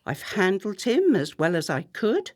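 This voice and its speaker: A woman acting a discreet, ambitious campaign manager in a radio play, controlled, deferential and deliberate. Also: mid-range voice, wildly swooping pitch. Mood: troubled, weary.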